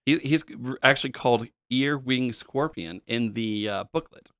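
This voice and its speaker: A man co-hosting a podcast, casually walking through retro game levels with a relaxed, explanatory manner and plenty of fillers. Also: medium pitch, normal speed, clear, resonant tone, dry recording, clean studio sound. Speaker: podcast co-host. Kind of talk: casual chat about retro games.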